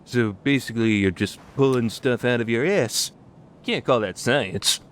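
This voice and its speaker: A man's voice: medium pitch, a little slow, close to an everyday voice. A man playing a blunt, slouchy young geek in an anime visual novel fan dub, casual and unimpressed, on a slightly noisy recording. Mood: sceptical.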